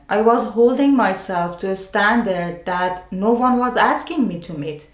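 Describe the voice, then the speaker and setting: A woman with a non-native English accent gives scripted self-help advice as a video host, speaking deliberately in short phrases with pauses. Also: fairly high pitch. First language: a language other than English. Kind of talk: scripted personal explainer to camera. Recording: roomy, echoing sound.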